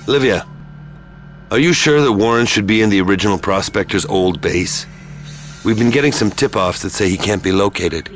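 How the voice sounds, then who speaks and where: A man's voice: lowish voice, normal speed, lightly acted. A man playing a level-headed, businesslike operative in a sci-fi video game, speaking matter-of-factly. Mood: serious. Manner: matter-of-fact, questioning. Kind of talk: video game cutscene acting.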